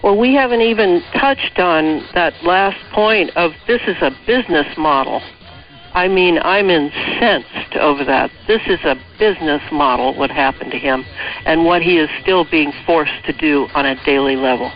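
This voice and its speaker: A man speaking as a radio interview guest, giving opinions emphatically and pausing mid-thought. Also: on the high side, faint music behind. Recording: dull lo-fi shortwave radio relay.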